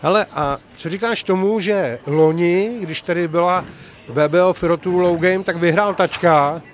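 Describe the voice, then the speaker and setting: Older man hosting a bowling event, jokey. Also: high-pitched. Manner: jokey, chatty, teasing. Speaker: tournament host and reporter. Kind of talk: event report and interviews. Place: large bowling alley, indoors. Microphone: handheld mic. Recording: faint hall noise.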